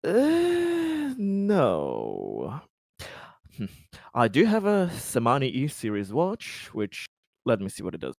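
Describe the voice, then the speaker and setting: A man's voice: mid-range voice, wildly swooping pitch, takes it slowly, creaky, darkish tone, no room sound, studio quality. A man chatting casually as a player in a tabletop roleplaying game.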